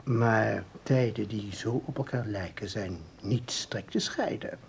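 An actor playing a calm researcher in a Dutch radio play, explaining formally and deliberately with small hesitations. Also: male voice, on the low side, gravelly, a little hiss or hum. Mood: lecturing. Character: calm, explaining male researcher of time anomalies.

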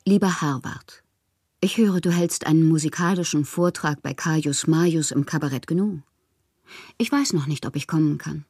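A woman's voice: low voice, normal speed, darkish tone, slightly rough, no echo, clean studio sound. An actress playing an impassioned, proud and whimsical woman poet who reads out her letters theatrically in a radio drama. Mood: wounded, cool. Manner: theatrical, capricious, swinging from wounded to playful.

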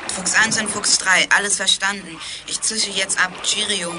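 A male actor playing a daring, eager boy lookout in a children's radio play, calling in briskly by walkie-talkie. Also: high-pitched, fairly even intonation, background noise, natural voice. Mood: eager, alert.

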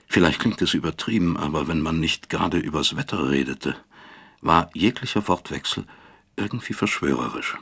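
A man acting a weary, self-accusing professor who recalls his past in a radio drama, speaking deliberately with a gravelly, husky voice. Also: a bass voice, fairly bright tone, heavily acted. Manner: deliberate, reflective, measured. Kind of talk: radio drama first-person narration.

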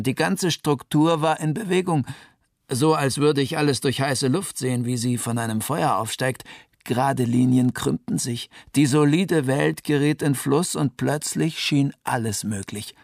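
A man's voice: medium pitch, dry recording, natural voice. Actor as reflective man, close dry sound. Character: wry, reflective husband and father recalling an earthquake. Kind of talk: literary radio documentary monologue.

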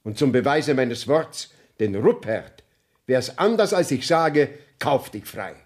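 A man's voice: mid-range voice, very animated, takes it slowly. An actor playing a formal, commanding male court councillor in a radio play, with precise, declamatory delivery. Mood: authoritative.

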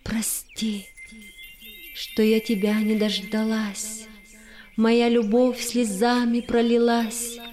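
An actress playing a devoted, sorrowful wife in an audio drama, reciting verse tenderly and mournfully. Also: female voice, a little high, flat delivery, takes it slowly, darkish tone, faint music behind. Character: devoted, sorrowful wife pining for her wandering beloved.

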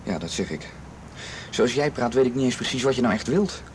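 A man's voice: medium pitch, expressive, brisk, natural voice. A man playing an earnest, protective young friend in a radio drama, urging and reassuring with insistence. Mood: pressing, earnest. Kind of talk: naturalistic radio drama acting. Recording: noisy old radio drama recording.

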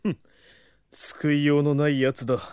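A man's voice: a little high, little pitch movement, moderate pace, a little mellow, clean tone, no echo, natural voice. A man playing a stern, proud merman warrior in an audio drama, formal and scornful. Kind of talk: anime-style audio drama acting.

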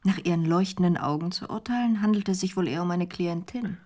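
An actress playing a pert, teasing detective's secretary in a German crime radio drama. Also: female voice, lowish voice, quick pace, dark tone, lightly acted.

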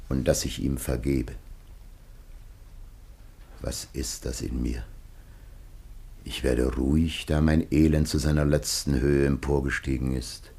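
A man's voice: extremely low pitch, gravelly, warm tone, husky, exaggerated voice. An actor playing a weary, dying old father in a classical radio play, solemn, grave and lamenting in his delivery. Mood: grave, forgiving, then sorrowful lament.

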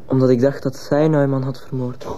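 A man's voice: medium pitch, flat delivery, a little fast, mellow, slight background noise, lightly acted. Subdued accused teenage schoolboy played by a man. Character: subdued fifteen-year-old accused schoolboy. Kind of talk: radio drama acting.

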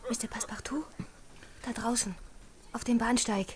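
A woman's voice: fairly high pitch, steady tone, normal speed, crowd noise, lightly acted. A woman playing a refined, anxious young lady traveller in an audio drama, speaking politely and carefully. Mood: anxious, hushed. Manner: polite, careful, often hushed.

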